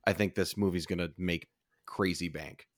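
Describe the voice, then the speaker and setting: A man's voice: deep voice, steady tone, moderate pace, no room sound, clean studio sound. A man chatting casually on a podcast in a rambling, emphatic way, often repeating himself.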